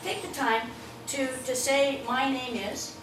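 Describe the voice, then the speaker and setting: A woman's voice: high-pitched, steady tone, slow. A woman running a live presentation workshop, teasing and laughing as she coaches a volunteer and acts out nervous thoughts. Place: meeting room with audience. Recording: roomy, distant mic, background noise.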